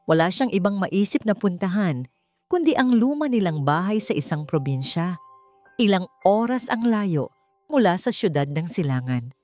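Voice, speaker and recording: Low-voiced woman narrating a dramatic story. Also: a little mellow. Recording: music under the voice. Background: background music.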